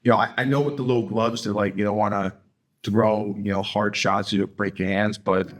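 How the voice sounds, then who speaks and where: A man's voice: fairly low pitch, steady tone, moderate pace. A young adult man from Connecticut, a professional fighter as interview guest, talking bluntly with swearing, restarts and fillers. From Greenwich, Connecticut. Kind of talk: casual interview talk.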